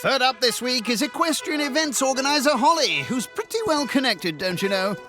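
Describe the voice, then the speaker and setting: A man's voice: very high-pitched, animated, fairly quick. A British man giving sardonic voice-over commentary, dry and teasing. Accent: British English. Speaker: show narrator. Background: music.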